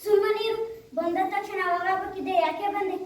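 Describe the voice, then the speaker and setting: Casting: Krishna, child plays a warm noble young king, very high voice, booming echoey hall, distant mic. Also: fairly even intonation, moderate pace. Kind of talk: school stage play.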